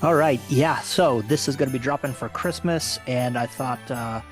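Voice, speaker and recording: A man's voice: mid-range voice, normal speed, faint music behind. A man hosting a casual nutrition podcast as a coach, upbeat and explaining with many fillers.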